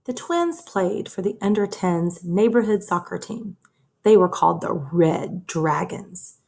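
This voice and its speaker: Slow-paced, husky woman reading to children. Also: fairly low pitch. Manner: measured, expressive storytelling for young listeners. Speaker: teacher reading a story aloud. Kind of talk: children's read-aloud narration.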